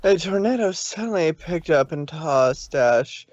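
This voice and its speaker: A man reading scripted fan fiction as a storybook narrator, with a measured, dramatic delivery. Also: fairly high pitch, animated, a little mellow, dry recording, lo-fi sound.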